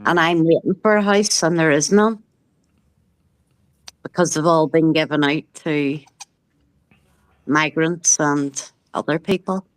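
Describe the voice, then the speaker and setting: A woman's voice: deep voice, steady tone, a little slow, long pauses, no room sound, low-quality recording. A woman talking bluntly from her own experience as a guest in a casual panel discussion. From Ireland. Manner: blunt, speaks from personal experience.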